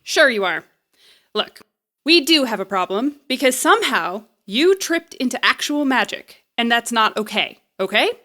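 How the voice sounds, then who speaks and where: An actress playing a brash, quick-witted woman in an audio drama, sarcastic and bossy. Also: fairly high pitch, very animated, bright, forceful tone. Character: brash, quick-witted woman, bluffing repairperson and romance writer.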